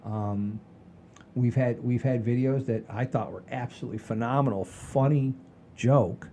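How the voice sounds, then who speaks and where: An older man hosting a casual podcast, rambling in a folksy, halting way with repeats and the odd mild swear. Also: mid-range voice, dark tone, a little hiss or hum. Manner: folksy, mildly profane, halting and repetitive. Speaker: podcast host.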